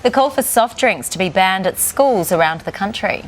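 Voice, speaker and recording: A woman's voice: mid-range voice, animated, moderate pace, slight background noise. A woman with an Australian accent hosting a morning TV show, reading links and interviewing guests. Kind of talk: lively television presenting and interviewing. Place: TV studio.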